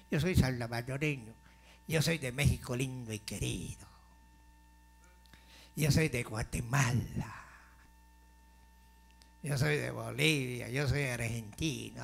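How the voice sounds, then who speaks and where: Slow, deliberate male pastor preaching. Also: on the high side, vocal fry. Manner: slow and deliberate, building to forceful emphasis. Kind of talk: sermon.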